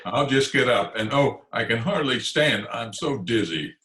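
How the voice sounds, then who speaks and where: Man playing a blustering principal, bright roomy voice. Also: mid-range voice, lively intonation, unhurried. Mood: dazed, flustered. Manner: blustering, indignant. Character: flustered, pompous middle-aged male school principal. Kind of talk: old-time radio comedy acting. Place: indoors, recorded remotely over video call. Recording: roomy remote video-call recording.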